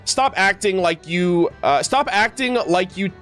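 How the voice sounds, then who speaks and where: A blunt, impatient young fantasy game heroine voiced by a man, in a lightly raised voice. The reading is conversational and halting in places, with game music underneath. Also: sits high, expressive, a bit bright. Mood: brusque.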